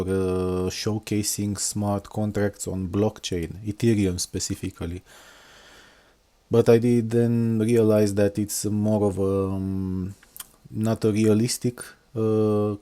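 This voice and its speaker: A middle-aged software engineer from Romania talking unscripted through a screen walkthrough, with many hesitations and fillers. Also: male voice, fairly low pitch. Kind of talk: casual screen-recorded walkthrough.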